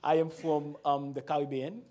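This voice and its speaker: A man in his forties with a Haitian accent, a native Creole speaker, giving a slow, laughing talk to a church as a guest pastor. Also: fairly high pitch, flat delivery. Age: 40s. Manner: slow, warm and laughing, with frequent pauses.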